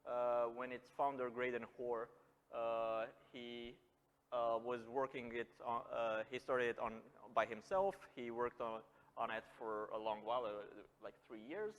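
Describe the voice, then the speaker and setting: A man with a Romanian accent giving an informal technical presentation, hesitant, with frequent filled pauses. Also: medium pitch. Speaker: conference speaker, software engineer. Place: indoor meetup venue. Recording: echoey hall with long reverb.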